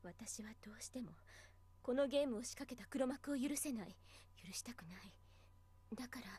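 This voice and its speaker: A woman voice actor playing a grieving, determined but gentle teacher in a Japanese visual novel, earnest and soft-spoken. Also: on the high side, very fast, slightly rough. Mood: grave, resolute.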